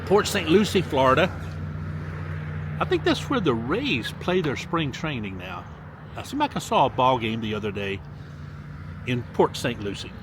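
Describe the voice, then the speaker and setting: A man's voice: mid-range voice, very animated, moderate pace, pauses often, background noise. An older man from Mississippi, a vlogger reading out where his viewers are watching from, stretching the place names in a sing-song way and adding chatty asides. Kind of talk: casual viewer shout-outs. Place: outdoors by a lakefront.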